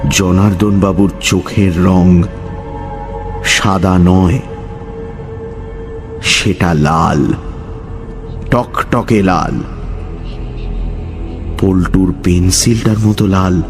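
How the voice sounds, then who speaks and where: A male storyteller with a fairly low voice reads a suspense tale very slowly. His delivery swings expressively and leaves deliberate pauses, with soft music behind him. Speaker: storyteller, narrator. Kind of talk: dramatic scripted horror story narration.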